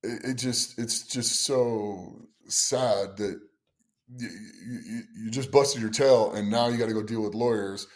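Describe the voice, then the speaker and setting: A middle-aged man hosting a podcast, telling stories excitably with stammering restarts. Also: fairly low pitch, measured pace. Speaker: podcast host. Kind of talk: casual podcast chat.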